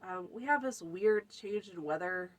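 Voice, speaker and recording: A woman's voice: fairly low pitch, expressive, measured pace, low-quality recording. Stuffy-nosed woman chatting through a tutorial. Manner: chatty, many fillers, lists items. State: stuffy, runny nose. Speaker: folk magic channel host. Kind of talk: casual spoken tutorial.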